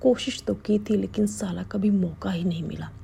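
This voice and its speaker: A woman voicing a crude, boastful older father-in-law in her own hushed whisper. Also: mid-range voice, normal speed, vocal fry, slight background noise. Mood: sly.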